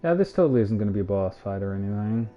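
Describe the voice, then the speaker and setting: A man's voice: on the low side, animated, racing, lo-fi sound, faint music behind. A man giving casual, off-the-cuff live commentary as he streams a game.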